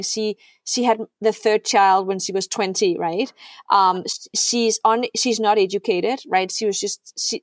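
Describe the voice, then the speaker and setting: A woman's voice: mid-range voice, dry recording. Earnest middle-aged woman, dry sound. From Denmark. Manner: earnest, repeats words and asks "right?". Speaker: podcast guest. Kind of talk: heartfelt podcast conversation.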